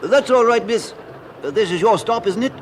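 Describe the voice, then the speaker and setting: A man's voice: high voice, lively intonation, normal speed, a little hiss or hum. A man playing a polite, helpful British bus conductor in an audio drama. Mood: courteous.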